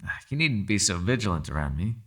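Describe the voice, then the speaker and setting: A man performs a captive fox spirit in an ASMR roleplay, low-pitched and crisply bright. He is sly and mock-polite, taunting with theatrical, widely swooping intonation and quiet menace. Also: big pitch swings, fast talker, full character voice. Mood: amused, teasing.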